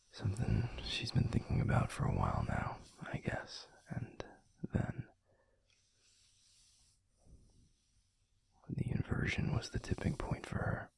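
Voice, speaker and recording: Man acting a breathy, gravelly, tender werewolf boyfriend. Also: full character voice. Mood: subdued, wistful. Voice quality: breathy, gravelly, near-whispered low voice. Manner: slow, halting, soothing murmur with pauses. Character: gentle, protective alpha werewolf boyfriend, weary and tender. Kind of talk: intimate ASMR boyfriend audio roleplay.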